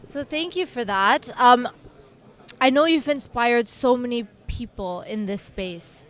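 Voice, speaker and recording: A woman's voice: a little high, measured pace, fairly bright tone. A woman hosting an interview at an event, asking questions enthusiastically and with many fillers. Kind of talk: casual interview.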